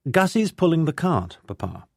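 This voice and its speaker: A man narrating a story, putting on the voice of a forceful, sharp-tongued young noblewoman who lectures crisply. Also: fairly high pitch, sing-song, normal speed, no room sound, studio quality, voice pushed up.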